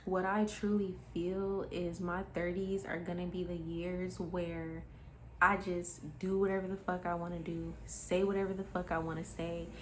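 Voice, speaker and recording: A woman's voice: lowish voice, flat delivery, normal speed, slight background noise, poor audio. A woman in her twenties giving a casual motivational monologue, candid and emphatic, swearing freely and calling the listener honey. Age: 20s. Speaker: spiritual vlogger.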